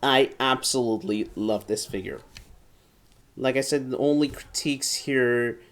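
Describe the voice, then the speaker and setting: Casting: male hobby reviewer, average pitch, enthusiastic but halting, repeats himself, casual unscripted delivery. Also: fairly bright tone. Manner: halting, often repeats and restarts phrases. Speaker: toy reviewer. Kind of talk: casual hands-on product review. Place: indoor room at home, seated at a table.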